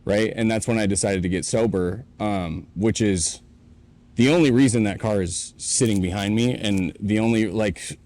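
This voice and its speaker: A man in his late twenties with a lowish voice speaks earnestly and reflectively in a casual podcast chat. He tells his story openly, recorded in a warehouse. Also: normal speed, a little mellow. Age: 20s. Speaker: podcast co-host.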